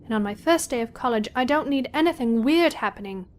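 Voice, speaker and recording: A woman's voice: a little high, animated, fairly quick, a little hiss or hum, natural voice. A woman playing a sardonic, self-critical seventeen-year-old college girl in an audio drama, with a wry, grumbling inner monologue. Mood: indignant.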